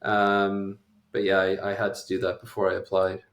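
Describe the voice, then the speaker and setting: A man's voice: low voice, completely flat delivery, normal speed, a little hiss or hum. A man, a doctor being interviewed, explaining things at length in a casual, conversational way. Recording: echoey room.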